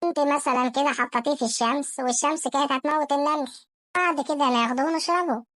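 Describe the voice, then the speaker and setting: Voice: high voice, very fast, fairly bright tone, no echo, studio quality. A performer voicing an angry, whiny, penny-pinching cartoon husband with an Egyptian accent, scolding and wailing in a comedy sketch. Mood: exasperated.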